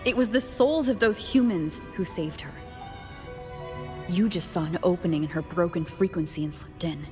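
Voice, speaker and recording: A woman's voice: fairly low pitch, moderate pace, a little mellow, lightly acted. An actress playing a perceptive, confrontational woman in a video game, speaking in a firm, probing way. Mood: accusing. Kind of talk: dramatic game voice acting. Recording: long reverb, faint music behind.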